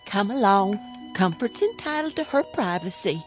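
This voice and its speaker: A woman's voice: medium pitch, very animated, moderate pace, soft background music, natural voice. A woman playing a fretful, protective rural mother with an American country accent in a radio drama. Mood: anxious, scolding.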